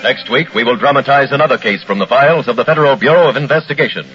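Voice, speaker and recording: A male narrator delivers formal, dramatic crime-show narration with a mid-pitched voice. It is a muffled old radio broadcast with music clearly behind him. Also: fast.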